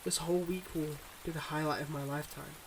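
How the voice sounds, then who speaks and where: A man playing a devoted but guarded mob boss boyfriend in an intimate ASMR roleplay, speaking in earnest, measured confessions. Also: fairly high pitch, fairly even intonation, noisy background, natural voice. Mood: bittersweet, devoted.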